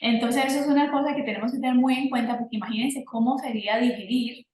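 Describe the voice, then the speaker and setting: A woman's voice: high voice, fairly even intonation, quick pace, darkish tone. Woman explaining nutrition in an echoey room. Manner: fluent, explanatory, advising. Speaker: nutrition guest expert. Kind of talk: explanatory interview answers.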